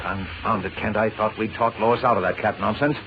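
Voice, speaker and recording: An actor playing a gruff, irritable middle-aged editor who barks and stammers in exasperation, in 1940s radio drama style. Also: male voice, fairly low pitch, steady tone, moderate pace, close to an everyday voice. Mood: exasperated. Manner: blustery, barking, stammering when exasperated. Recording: noisy, muffled old radio recording.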